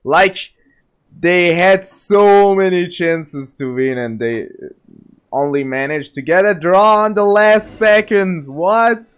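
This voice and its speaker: A man's voice: high-pitched, takes it slowly, smooth. A man casually chatting as he streams a game, with a rambling, offhand manner. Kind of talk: casual chat over gameplay.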